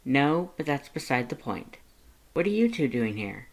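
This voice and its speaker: Narrator as a curious, deadpan young human, higher-pitched. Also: normal speed. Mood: deadpan, sly. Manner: deadpan, inquisitive.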